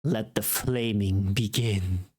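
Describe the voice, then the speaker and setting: A streamer chatting casually and playfully on a livestream, thinking aloud in an expressive way. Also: low-pitched, slow pace, slightly rough. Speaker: livestreamer and voice actor making a voice pack.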